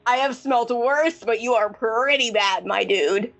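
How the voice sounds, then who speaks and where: A woman's voice: on the high side, measured pace, a bit bright. A woman playing a blunt, teasing, laid-back housemate in a tabletop game. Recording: music behind the voice.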